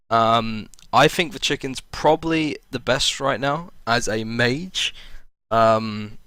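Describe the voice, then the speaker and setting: Man with a flu-hoarse, bright voice. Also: medium pitch, no room sound. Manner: rambling, many ums and uhs. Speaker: gaming video creator. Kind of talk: casual game commentary.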